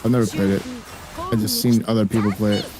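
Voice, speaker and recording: A man's voice: fairly low pitch, flat delivery, fast talker. Blunt, slangy young man, a native Spanish speaker. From raised by a Spanish-speaking family, living in the US. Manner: blunt, slangy, swears freely. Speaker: gaming streamer. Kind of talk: casual streaming chat.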